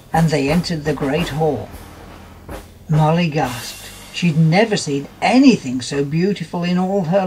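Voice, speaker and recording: A man narrating a children's fairytale in an expressive storytelling manner. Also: a little high, breathy edge.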